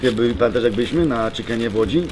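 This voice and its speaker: A man's voice: medium pitch, fast. A man, chatting casually to the camera in a vlog. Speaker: vlog subject, MMA fighter. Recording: noisy on-location vlog audio.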